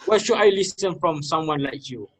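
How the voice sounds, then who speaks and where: A man playing a bitter, defensive student with a guilty secret, in a halting amateur reader's theater delivery. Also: on the high side, expressive, fairly bright tone. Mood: angry, defensive.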